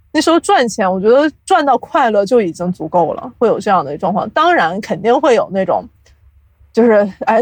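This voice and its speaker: Young woman, mid-pitched, dry recording, conversational, explanatory, animated storyteller, laughs readily. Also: no echo. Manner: animated storytelling, laughs often, self-deprecating humour. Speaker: podcast guest, audio drama producer. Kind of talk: casual interview chat, explaining from experience.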